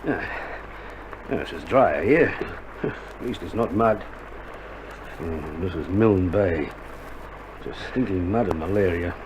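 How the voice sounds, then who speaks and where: Gruff-but-caring Australian army medic, swooping lively pitch, coaxing, radio drama, noisy vintage recording. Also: male voice, slow, pauses often. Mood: weary grumbling. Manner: coaxing, grumbling, urgent. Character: caring, no-nonsense army medic soldier tending a sick mate. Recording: noisy old vinyl recording.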